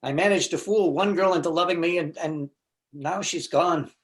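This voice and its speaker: High-voiced neurotic jilted husband played by a man. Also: close to an everyday voice. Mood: mournful, self-pitying. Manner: self-deprecating, halting, stammering. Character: neurotic, self-pitying jilted husband, meek and lovelorn. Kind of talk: stage comedy readers theater.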